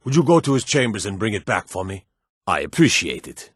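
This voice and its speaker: An actor playing a gruff, proud old blacksmith in a fantasy video game, with a husky, gravelly voice and a measured, plain-spoken delivery. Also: male voice, on the low side, very animated, no echo, studio quality. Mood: earnest, steady. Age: older. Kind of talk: fantasy game character dialogue.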